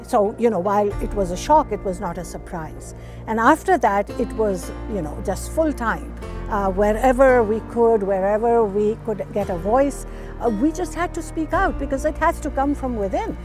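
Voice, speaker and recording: A woman's voice: on the high side, animated, normal speed, music in the background. A woman talking conversationally as an activist in a documentary interview.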